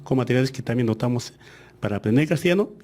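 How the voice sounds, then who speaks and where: A man whose first language is Aymara, a ministry official answering interview questions in a measured way with frequent hesitations. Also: mid-range voice, expressive, a little mellow.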